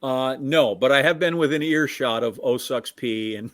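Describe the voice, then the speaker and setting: A man's voice: mid-range voice, a bit bright, no room sound. American man chatting sports, dry close sound. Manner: conversational, halting, self-interrupting storytelling. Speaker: sports show co-host. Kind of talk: casual sports chat.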